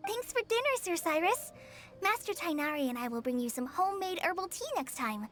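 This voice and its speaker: A sweet, polite young ranger girl in a video game, speaking earnestly in a very high, lively voice. Soft game music is underneath. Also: brisk, full character voice. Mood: grateful. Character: sweet young female forest ranger apprentice.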